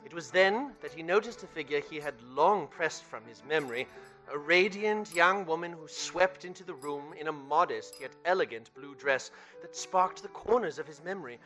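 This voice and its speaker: An actor narrating a Christmas tale as an earnest storyteller in a radio play. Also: male voice, fairly high pitch, expressive, normal speed, pushed and bright, faint music behind. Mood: warm storytelling. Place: theater stage.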